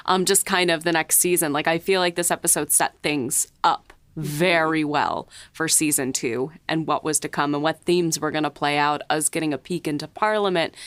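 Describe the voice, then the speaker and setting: A woman co-hosting a podcast, chatting casually and reflectively, pausing often as she finds her words. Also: deep voice, fairly bright tone, dry recording. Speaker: podcast co-host and writer.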